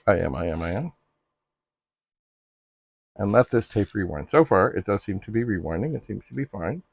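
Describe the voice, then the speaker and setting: A middle-aged man narrating a casual hands-on demonstration, musing haltingly aloud to himself with droll, slightly formal turns of phrase. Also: lowish voice, lively intonation, gravelly, breathy edge, no echo, studio quality. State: frequent coughing and throat clearing. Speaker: retro computer hobbyist and video host.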